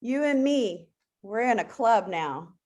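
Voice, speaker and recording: A woman playing a bossy, excitable eight-year-old adventurer girl in a table read of an animated film, with a brash, commanding delivery. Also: fairly high pitch, takes it slowly. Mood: friendly, eager.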